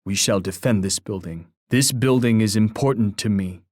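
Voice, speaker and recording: An actor playing a proud, poetic Native war chief in a video game, speaking in a formal, grandiose, oratorical manner. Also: male voice, fairly low pitch, normal speed, darkish tone, dry recording, studio quality, close to an everyday voice. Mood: resolute, menacing. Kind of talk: scripted video game voice lines.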